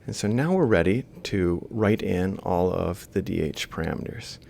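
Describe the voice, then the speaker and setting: Very gravelly low male voice, lecturer, informal step-by-step explanation, thinking aloud. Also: lively intonation, moderate pace, darkish tone, slight background noise. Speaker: robotics lecturer. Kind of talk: explaining step by step while drawing.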